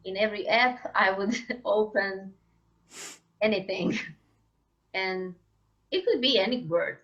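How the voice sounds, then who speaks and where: A Croatian woman in an interview, her English careful and unhurried, with a Croatian accent. She hunts for the right words, repeats herself and fills gaps with "like". The sound is dull and lo-fi. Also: mid-range voice. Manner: searching for words, frequent "like" and restarts, laughs often. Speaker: guest, singer-songwriter. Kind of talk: casual interview conversation.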